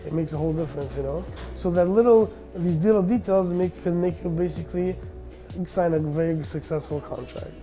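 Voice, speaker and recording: A man in his thirties answers interview questions, pausing often on fillers and starting his sentences over. His voice sits a little high and sounds mellow and soft. Faint music plays underneath. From Armenian, born in Russia, raised in Canada. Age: 30s. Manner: hesitant, many fillers and restarts. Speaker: business owner.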